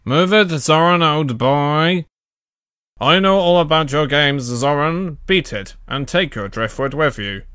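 A man's voice: mid-range voice, pushed and bright, clean tone, no echo, studio quality. A man acting out theatrical cartoon character voices in a showcase of impressions. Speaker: amateur voice impressionist.

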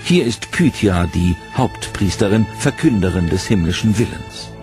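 A man giving scripted, measured documentary narration in a dramatic storytelling manner, over a music bed. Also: low-pitched, lively intonation. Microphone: studio voice-over mic.

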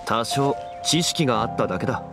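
A dignified, learned man voiced by a male game actor speaks formally and deliberately. His mid-range voice moves with lively intonation, over faint music. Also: medium pitch, natural voice. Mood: composed. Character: calm, learned, dignified man acting as consultant. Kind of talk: game voice acting, expository dialogue.

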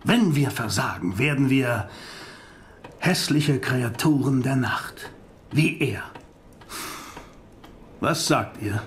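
Solemn, dramatic professor with lively pitch. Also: male voice, slight background noise. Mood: grave, urgent. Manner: grave, dramatic, deliberate oratory. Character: solemn, commanding male professor hunting vampires. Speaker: voice actor. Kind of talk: German radio drama acting. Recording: music behind the voice.

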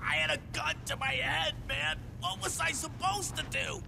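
A stammering, panicky mechanic. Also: male voice, extremely high pitch, wildly swooping pitch, moderate pace, vocal fry, background noise, heavily acted. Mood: panicked. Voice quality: shaky and stammering. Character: cowardly male mechanic, panicky and pleading. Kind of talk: video game cutscene acting.

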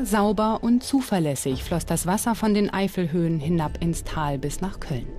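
A woman reading a scripted documentary narration as the narrator. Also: lowish voice. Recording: music bed under the voice. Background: background music.

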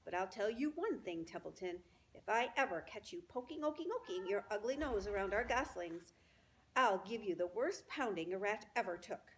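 A woman's voice: mid-range voice, sing-song, brisk, a little hiss or hum, rough recording. A woman doing a blustering, brave gander. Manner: blustering, threatening.

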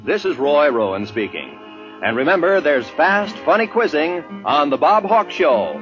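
Animated 1950s American radio announcer, a man. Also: on the high side, a little slow. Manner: polished, formal. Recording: dull vintage 1950s radio recording. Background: music.

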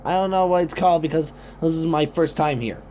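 A man improvising amateur character lines in a halting way, repeating and reworking them as he goes. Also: on the high side, fairly even intonation, darkish tone. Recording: faintly noisy home recording.

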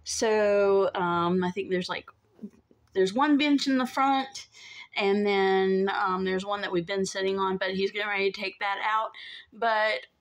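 A middle-aged woman gives a casual, chatty update to camera, pausing often as she goes. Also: medium pitch. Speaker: vlogger. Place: inside an empty converted school bus. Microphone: phone at arm's length.

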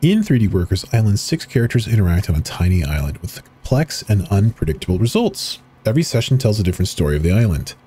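A man's voice: fairly low pitch, sing-song, fast, hardly any pauses, dark tone, a little hiss or hum. A man reading web pages and installer text aloud for a horror video, adding short casual asides as he clicks through. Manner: reads on-screen text aloud, with brief asides. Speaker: horror game video narrator. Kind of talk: dramatic reading of on-screen text.

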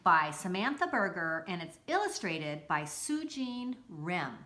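A middle-aged woman reading a children's picture book aloud, deliberately and with expressive emphasis, recorded indoors a short distance from the camera. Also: lowish voice, slight background noise. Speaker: school read-aloud presenter. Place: indoors, home-like room with armchairs.

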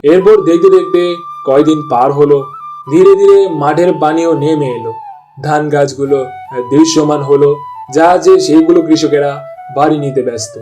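A man's voice: fairly high pitch, steady tone, normal speed, dark tone, faint music behind, low-quality recording. A man narrating a scripted story as the storyteller.

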